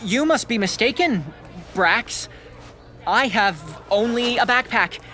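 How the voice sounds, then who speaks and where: An actor playing an earnest, bookish and plucky schoolboy in an audio drama. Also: male voice, very high-pitched, animated, slow pace, pushed and bright, a little hiss or hum, heavily acted. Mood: mock grand.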